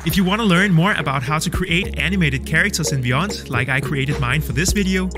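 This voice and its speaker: A man's voice: on the high side, a little fast, over music, crowd noise. A man hosting a mock talent show in an upbeat, showman-like way. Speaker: host.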